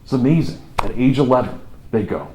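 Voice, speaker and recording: A man with a Kansas accent giving a college lecture, telling history as a lively story and repeating words for emphasis. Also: mid-range voice, fairly quick. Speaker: college professor. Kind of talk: classroom lecture. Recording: echoey classroom from far off, faint noise.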